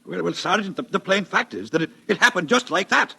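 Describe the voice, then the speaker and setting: A raspy, excitable beat cop. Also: male voice, sits high, fairly quick, full character voice. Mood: excited, insistent. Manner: folksy, stammering, colloquial. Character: flustered, deferential big male beat cop. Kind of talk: old-time radio drama acting. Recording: vintage radio drama recording.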